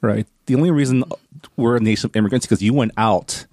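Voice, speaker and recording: A man's voice: mid-range voice, fast, darkish tone, no room sound, studio quality. A man with a North American accent talking casually and reflectively in a discussion, often checking in with a questioning tag. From born in Canada, grew up in America. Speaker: book discussion panelist.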